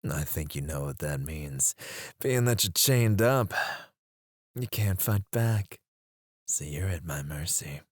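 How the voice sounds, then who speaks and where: A man performs a menacing male captor in an intimate ASMR roleplay. His low voice moves at an unhurried drawl, teasing and threatening, often sinking into hushed murmurs. The sound is close and dry. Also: lively intonation, no echo, exaggerated voice. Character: menacing, teasing male kidnapper interrogating a captive. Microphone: close studio microphone.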